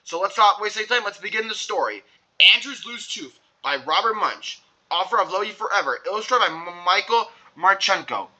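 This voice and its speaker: Young guy doing a storybook video, really sharp high voice, super keen, says things twice. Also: animated, fairly quick, low-quality recording. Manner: enthusiastic, repeats himself. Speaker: video host reading aloud. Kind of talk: read-along storytelling for online video.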